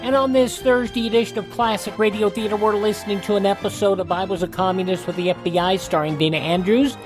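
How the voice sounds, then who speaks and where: A man with an American accent reading scripted news and show introductions as a radio host, in measured announcer phrasing. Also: high voice, steady tone, over music.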